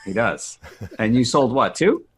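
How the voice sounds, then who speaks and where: A man's voice: medium pitch, sing-song, unhurried, slight background noise. A man chatting casually as a participant in an online group meeting. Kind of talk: casual chat.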